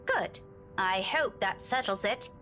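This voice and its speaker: A woman's voice: medium pitch, lively intonation, takes it slowly, sharp tone, soft background music. An actress playing an irritable, tired young woman with a brusque, impatient manner in a visual novel game. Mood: curt, exasperated. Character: irritable, tired young woman driving her friend home.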